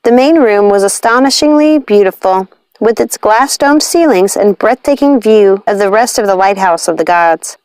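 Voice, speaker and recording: Expressive woman reading a storybook. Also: mid-range voice, normal speed, clear, resonant tone, dry recording. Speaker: storybook reader. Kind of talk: expressive scripted story narration.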